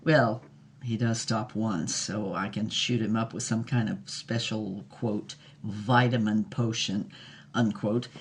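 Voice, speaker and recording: A woman's voice: very deep voice, steady tone, a little hiss or hum, full character voice. An actress playing a brazen, worldly ninety-year-old film star woman, recounting her affairs frankly and sassily in an audio drama interview. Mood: sultry, boastful. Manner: frank, sassy, unhurried storytelling.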